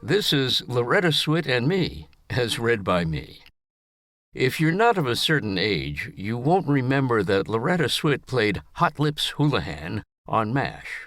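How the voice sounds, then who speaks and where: An older man narrates a scripted personal story. His medium-pitched voice moves up and down a great deal as he reads. The sound is dry, with no room around it. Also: very animated, unhurried, fairly bright tone, no room sound. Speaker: essayist reading own story.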